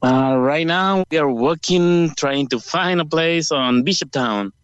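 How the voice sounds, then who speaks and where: A man from El Salvador with a Spanish accent, calling a radio show by phone and answering earnestly in halting English. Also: on the high side, clear, resonant tone, no echo. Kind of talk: conversational interview answers. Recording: dull phone line.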